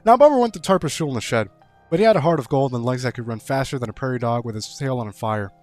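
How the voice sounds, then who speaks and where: A man's voice: medium pitch, expressive, a little mellow, no echo, lightly acted. Man reading as a folksy yarn-spinner over faint music. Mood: folksy, animated. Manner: folksy, playful, drawn-out similes. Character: folksy rural storyteller spinning a tall spooky yarn. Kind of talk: storytelling read aloud.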